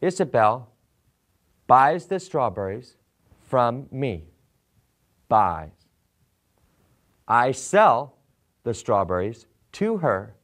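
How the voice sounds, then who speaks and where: An American man in his forties teaching English in a deliberate, enunciated way with simple scripted sentences. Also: mid-range voice, sing-song, a bit bright. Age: 40s. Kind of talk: slow scripted language lesson with simple modelled sentences. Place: small classroom set, indoors.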